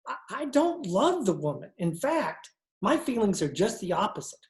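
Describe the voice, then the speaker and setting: An actor playing a cultured, nervous judge and pool hustler, speaking in a fussy, theatrical old-time radio style. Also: male voice, high-pitched, sing-song, normal speed. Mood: appalled, protesting. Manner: pompous, fussy, theatrical speech. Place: indoor room, recorded over video call. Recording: roomy, echoing video-call recording.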